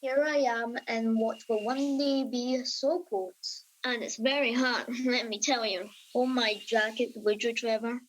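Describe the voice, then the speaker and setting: A woman's voice: fairly high pitch, fairly even intonation, unhurried, few pauses, slight background noise, lightly acted, poor audio. A woman playing a chatty, reckless time-travelling field correspondent with a fussy, theatrical manner in a radio comedy. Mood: breezy, fussy. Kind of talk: radio comedy acting as a live report.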